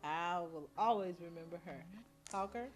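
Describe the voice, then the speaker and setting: A woman's voice: on the low side, normal speed, faint music behind. A middle-aged female schoolteacher, talking informally and plainly in an interview. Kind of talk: interview reminiscence.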